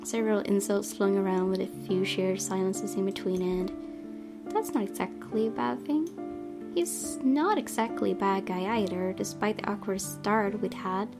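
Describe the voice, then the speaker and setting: A woman's voice: medium pitch, moderate pace. A woman hosting a let's-play, reading a visual novel's narration aloud and commenting on it. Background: guitar music.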